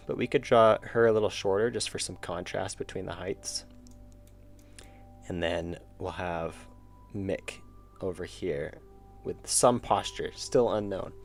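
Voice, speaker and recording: A man's voice: deep voice, unhurried, creaky, pauses often, soft background music. A man chatting casually on a live stream while drawing, rambling and thinking aloud with restarts and chuckles, his voice wearing out. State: losing his voice. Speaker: streamer and indie game developer.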